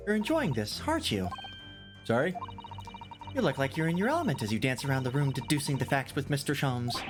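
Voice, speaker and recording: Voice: mid-range voice, natural voice. A streamer reading a polite young female assistant, teasing and courteous.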